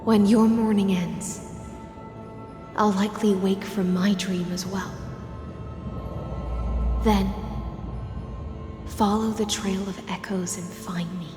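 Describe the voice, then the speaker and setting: A solemn siren warrior woman voiced by an actress in a dramatic video game. She speaks slowly and poetically, weary but resolute, as if in ceremony. Her voice carries long echoing reverb over music. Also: medium pitch, steady tone, lightly acted.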